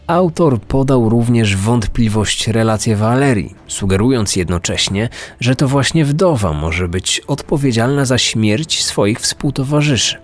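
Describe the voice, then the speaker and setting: A man hosting a true-crime podcast with serious, deliberate scripted narration. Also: medium pitch. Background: faint music.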